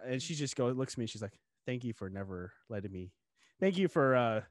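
Young male podcast host, lively casual interviewer, dry studio mic. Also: medium pitch, brisk, dry recording. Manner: animated, talks with his hands. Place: home studio room. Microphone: studio mic on boom arm, headphones.

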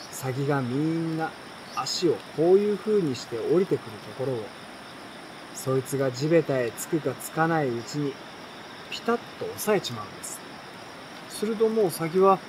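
A folksy male bird catcher from a storybook , acted out by a man reading aloud, talks in a colloquial, self-assured way. The voice is a little high, matter-of-fact and explaining, with faint outdoor crickets behind. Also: lightly acted. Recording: outdoor night crickets and campfire noise.

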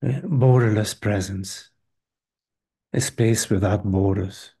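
A man leading a guided meditation as a teacher, speaking gently and hesitantly in short phrases with long pauses between them. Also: lowish voice, husky. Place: live online group session.

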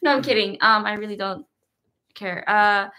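A woman's voice: medium pitch, fairly even intonation, moderate pace, sharp tone. A young woman vlogging casually in a bedroom, teasing and laughing often as she chats. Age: young adult. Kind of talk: casual chat. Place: bedroom, indoors.

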